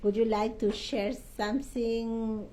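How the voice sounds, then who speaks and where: A woman's voice: fairly high pitch, level tone, slow pace, dark tone, poor audio. A woman hosting a podcast interview, putting simple questions to a guest in a conversational way. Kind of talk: interview questions with short listening responses.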